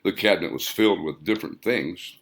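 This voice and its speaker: A man reading his own fiction aloud as scripted audiobook narration, in a deliberate manner. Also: low-pitched, vocal fry, a bit bright.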